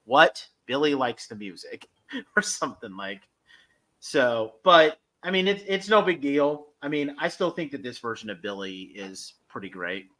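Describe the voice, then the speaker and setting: Bright-voiced man rambling through a fan discussion. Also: medium pitch, big pitch swings. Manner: rambling, halting, enthusiastic. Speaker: podcast co-host. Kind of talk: casual fan review discussion.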